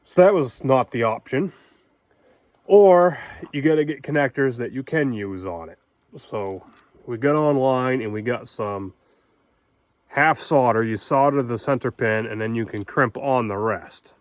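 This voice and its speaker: A man's voice: mid-range voice, unhurried, no room sound. A man talking casually and informally in a folksy way, explaining things as he goes. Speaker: homesteader and amateur radio operator vlogging.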